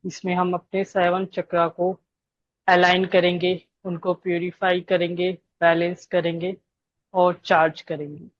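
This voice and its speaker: A woman leading a guided meditation, giving gentle instructions with long pauses between phrases. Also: on the low side, little pitch movement, no echo, muffled recording.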